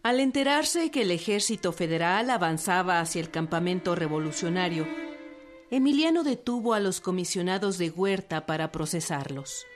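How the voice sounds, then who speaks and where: A woman's voice: lowish voice, animated, moderate pace. A woman reading scripted narration for a historical radio drama. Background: music.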